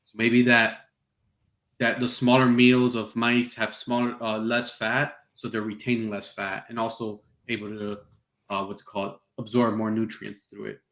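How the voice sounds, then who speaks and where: A man from South Florida hosting a podcast, speaking in a relaxed, hesitant way with frequent "um" and "you know". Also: on the low side, normal speed. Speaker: podcast host. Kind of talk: casual podcast interview. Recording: echoey room.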